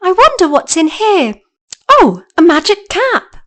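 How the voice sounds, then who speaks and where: A woman's voice: very high-pitched, expressive, measured pace, a bit bright, voice pushed up, exaggerated voice. A woman voicing an earnest, brave young girl in a storybook reading, with a childlike manner. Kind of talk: storybook character voice.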